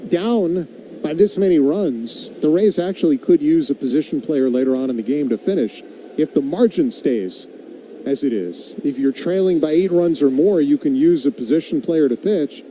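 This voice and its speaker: Mellow, dark-voiced male baseball announcer. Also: a little high, animated, normal speed, slight background noise, low-quality recording. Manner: wry, tongue-in-cheek. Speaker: baseball radio play-by-play announcer. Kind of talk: live play-by-play mixed with conversational commentary.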